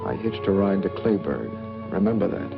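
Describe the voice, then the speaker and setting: A muffled old film soundtrack carries a menacing American drifter. The man speaks low and terse, guarding a secret, in 1940s noir style. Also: animated, normal speed, vocal fry, loud music behind the voice. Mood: cold, wary.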